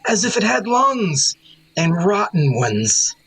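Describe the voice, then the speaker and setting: A man's voice: sits high, sing-song, slow, a crowd in the background, exaggerated voice, low-quality recording. A man playing a sneering, witty nobleman in a Shakespearean audio drama, with sardonic dry wit. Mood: sardonic mockery. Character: sneering, witty nobleman mocking his elders. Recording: faint noise, remote home recording.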